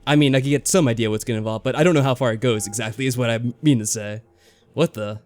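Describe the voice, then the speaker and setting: A man's voice: medium pitch, fast, a little mellow. Rambling male let's play host over music. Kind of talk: casual chat and game commentary, reading game text aloud. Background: game music behind the voice.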